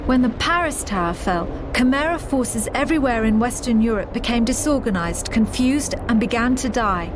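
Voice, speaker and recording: A woman voicing a composed, caring military officer in a video game, measured and solemn as she speaks. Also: on the high side, expressive, noisy background, close to an everyday voice. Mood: solemn, factual. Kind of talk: scripted game narration and dialogue. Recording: music behind the voice.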